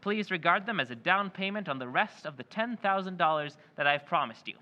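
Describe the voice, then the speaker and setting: A man's voice: high-pitched, animated, brisk, bright, forceful tone, lo-fi sound. A man playing a mysterious male stranger in an old-time radio play, speaking firmly and precisely. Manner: firm, precise, clipped.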